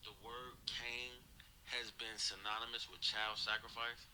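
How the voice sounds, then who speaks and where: A male podcast co-host with an intensely bright, cutting voice at a middle pitch. He rambles casually and insistently, with profanity, over slightly noisy audio. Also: level tone, a little slow.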